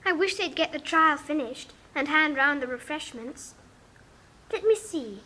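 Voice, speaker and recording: A woman's voice: high voice, lively intonation, measured pace, pushed and bright, exaggerated voice. A woman playing an inquisitive, outspoken young girl with a precise British accent, in a 1950s audio storybook. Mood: curious. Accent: British Received Pronunciation. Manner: precise, forthright, questioning. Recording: noisy 1950s record with clicks.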